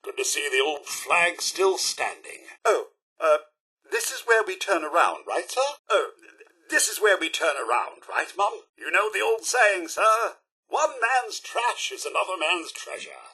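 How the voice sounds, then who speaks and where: A man's voice: sits high, sing-song, unhurried, pushed and bright, husky, heavily acted. A man playing a polite, fussy, loyal robot butler in a video game, with a British accent and formal, deferential manners. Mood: politely curious. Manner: formal, deferential, fussily courteous. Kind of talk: video game character voice lines.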